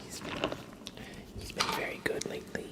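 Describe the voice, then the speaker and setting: Whispering male ASMR streamer. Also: normal speed. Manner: relaxed, soft-spoken. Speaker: ASMR livestream host. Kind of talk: whispered ASMR, casual livestream chat. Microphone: close sensitive ASMR microphone.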